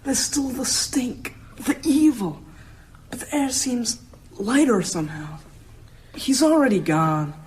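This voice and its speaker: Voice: medium pitch, animated, takes it slowly, a little hiss or hum, rough recording. A performer playing a brave, uneasy young boy who senses evil in a radio drama.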